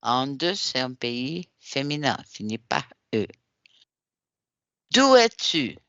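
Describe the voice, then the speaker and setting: A Brazilian man teaching French online, his native Portuguese beneath the French. He speaks slowly, and his pitch rises and falls widely as he drills questions and repeats corrected answers. The call audio is dull and lo-fi, with a dry sound. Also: big pitch swings, creaky, sharp tone, no echo. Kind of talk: language class drilling questions.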